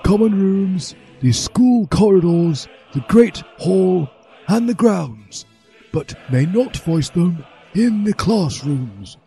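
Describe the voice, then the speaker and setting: Man acting as pompous old headmaster, slow drawn-out delivery, raised pitch, smug, comic storybook reading. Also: lively intonation, gravelly, warm tone, heavily acted. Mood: pompous, measured. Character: old male headmaster, smug, slippery and self-justifying. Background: music.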